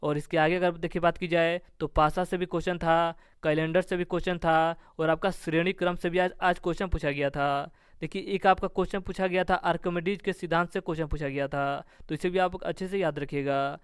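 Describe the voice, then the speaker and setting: A man's voice: a little high, steady tone, fairly quick, hardly any pauses, clean tone, dry recording, clean studio sound. A man giving an informal exam review as a coaching teacher, instructive and leaning on repeated stock phrases.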